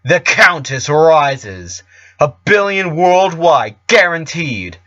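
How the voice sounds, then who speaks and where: Guy doing a bossy villain pony diva lady for a story, sharp and goading, swearing, kinda lo-fi. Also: on the high side, big pitch swings, takes it slowly, dry recording, voice pushed up, heavily acted. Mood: fierce, rousing. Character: domineering, taunting pony diva, female alter-ego villain.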